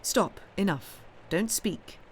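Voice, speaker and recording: A woman voicing a young woman in an audiobook, frank and guarded, gently teasing, with a hesitant delivery. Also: mid-range voice, expressive, slow pace, a little hiss or hum, rough recording. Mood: gentle, guarded. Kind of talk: dramatised audiobook reading.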